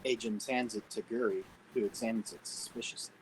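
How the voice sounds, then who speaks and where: A man's voice: on the low side, fairly even intonation, a little fast, a little hiss or hum, low-quality recording. A man narrating stage directions from a script at a table read.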